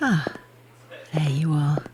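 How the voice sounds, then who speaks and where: A woman playing a composed, politely probing doctor in a sci-fi audio drama, deliberate and faintly unsettling. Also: low-pitched, fairly even intonation, darkish tone.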